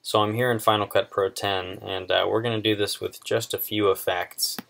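Low-voiced young man, casual tutorial. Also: flat delivery, vocal fry, a bit bright, slight background noise. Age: 20s. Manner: informal, unscripted, halting explanation. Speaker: video tutorial presenter. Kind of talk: casual screen-recorded software tutorial.

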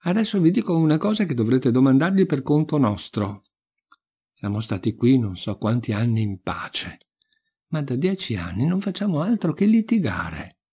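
A storybook prior played by a male reader, bossy yet helpful, gives cautions with lively swings of pitch. The tone is mellow and husky. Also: normal speed. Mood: troubled. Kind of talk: storybook reading.

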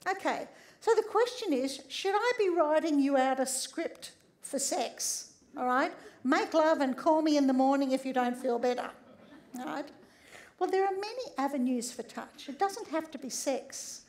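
Older woman, slightly croaky, high voice, lively stage lecture with frequent audience check-ins. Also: normal speed. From Australia. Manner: conversational, often tags lines with "right?". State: a bit croaky. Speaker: speaker giving a public talk. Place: large theatre stage.

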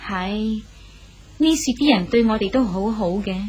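An actress playing a loyal female companion of an old exile, sorrowful and gently pleading in a radio drama. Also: mid-range voice, expressive, measured pace, slightly rough, lo-fi sound. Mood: wistful.

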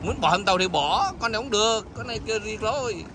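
A man fishing on a boat outdoors, chatting excitedly with loud exclamations and blunt swearing. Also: sits high, fast talker, noisy background. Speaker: fishing vlogger.

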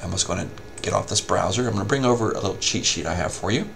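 A man giving a casual, step-by-step software tutorial as a help desk instructor. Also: sits low, fast talker, gravelly, a little hiss or hum. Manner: informal step-by-step explaining.

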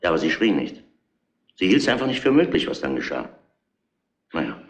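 An actor playing a gruff, domineering police inspector who deliberately lays out a case in a retro crime radio play. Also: male voice, sits low, very animated, echoey room, full character voice. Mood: grave.

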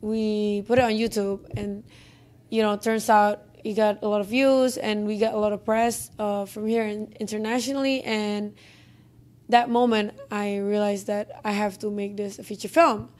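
A woman's voice: mid-range voice, little pitch movement, normal speed, a little mellow. A young woman with an Indonesian accent, a film director chatting in an interview with many fillers and restarts, on a handheld mic in an office meeting room. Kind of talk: interview answers. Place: office conference room, indoors.

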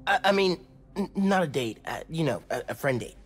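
A nice awkward teen hero in a video game, voice jumps around a lot, kinda high, stumbles on words. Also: male voice, big pitch swings, fairly quick, a little hiss or hum. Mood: awkward, gentle. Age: young adult. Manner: hesitant, stammering when flustered. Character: friendly teenage boy superhero, earnest and a little awkward. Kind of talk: naturalistic video game acting.

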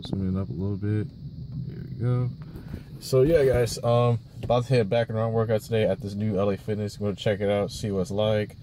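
Young guy vlogging in his car, lowish voice, bit of background noise. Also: fairly even intonation, a little fast, dark tone. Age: 20s. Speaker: fitness vlogger. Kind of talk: casual vlog chat to camera. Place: inside a parked car.

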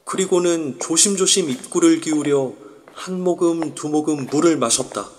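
A man's voice: a little high, moderate pace, darkish tone, a little hiss or hum. A man narrating an amateur audio drama in an irritated inner monologue. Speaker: narrator and lead.

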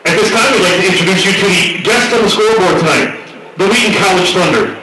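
An American man announces over a stadium public-address system in a formal, projected delivery. His voice is high for a man and reaches the listener from far off with very heavy echo. Loud crowd noise sits behind him. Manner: projected, drawn-out emphasis on names. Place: outdoor stadium at night, over loudspeakers. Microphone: PA microphone, picked up from far off.

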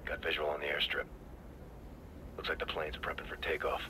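Shooter game scout: very deep, low-key, terse, cool under pressure, noisy backdrop. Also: male voice, completely flat delivery, a little fast. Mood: cool, focused. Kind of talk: military shooter game acting. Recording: game dialogue over vehicle ambience.

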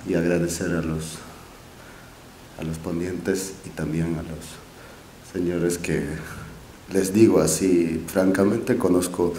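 Young andean guy, quechua is his first language, slow careful spanish, lots of pauses, roomy hall sound, faint noise. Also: low-pitched, dark tone. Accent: Quechua-speaking Andean Spanish. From Peru, Q'ero nation. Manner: halting and modest, with many restarts and fillers. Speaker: forum speaker. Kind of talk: speech at a podium. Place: large auditorium stage. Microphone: podium mic. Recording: echoey auditorium, faint room noise.

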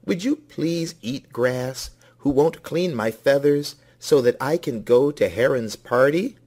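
A man's voice: mid-range voice, expressive, slow, darkish tone, pitched above the performer's own voice. A man voicing a vain, pleading storybook rooster who calls out and begs insistently.

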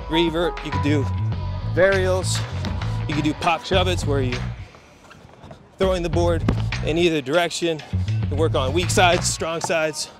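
Higher-voiced man explaining casually. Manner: loose, conversational, many fillers. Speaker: trick instructor.

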